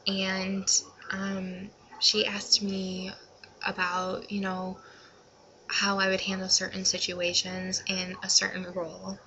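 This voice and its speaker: A young woman chats casually to her viewers in a very bright, forward voice. Her pace is a little slow and her delivery rambles informally, with some self-consciousness. The recording is dull and lo-fi. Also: medium pitch, a little hiss or hum. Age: young adult. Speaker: vlogger. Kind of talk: casual advice vlog to camera.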